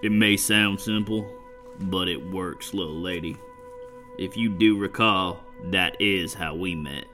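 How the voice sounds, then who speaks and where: A gruff cowboy gunslinger, as a man acts him out in a tabletop game. His voice sits extremely low, and he talks bluntly, swearing freely. Faint music runs behind. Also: measured pace, sharp tone. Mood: determined. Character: gruff male gunslinger searching for brother.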